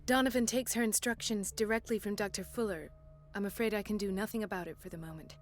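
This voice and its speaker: An actress playing a composed, caring and determined hospital doctor in a video game, with a measured clinical delivery. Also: female voice, medium pitch, fairly even intonation, faint music behind, natural voice. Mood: resigned. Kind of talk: video game cutscene acting.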